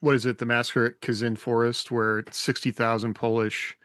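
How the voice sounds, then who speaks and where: An American man co-hosting a podcast, speaking casually and somewhat haltingly over an online call. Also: medium pitch, little pitch movement, fairly bright tone, no echo, studio quality. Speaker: podcast co-host. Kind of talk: casual panel discussion.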